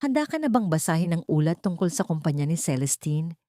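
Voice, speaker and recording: A woman's voice: low-pitched, sing-song, dark tone, dry recording, clean studio sound. A woman with a Filipino accent voicing a serious, wealthy older male mentor in a grave manner for an audio story. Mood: approving, serious. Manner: grave, measured.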